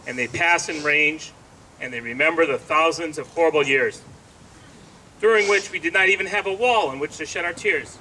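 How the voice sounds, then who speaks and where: A man reads poetry aloud at a ceremony in a solemn, deliberate, emphatic style. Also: fairly high pitch, bright, forceful tone, distant mic, a little hiss or hum, rough recording. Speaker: congregation president reading a poem. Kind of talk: poetry recitation.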